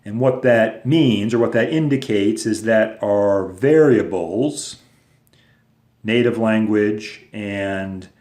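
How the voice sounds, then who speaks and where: Low-voiced man teaching in an echoey room. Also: a little mellow. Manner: patient, step-by-step explaining with fillers. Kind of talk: recorded lecture video.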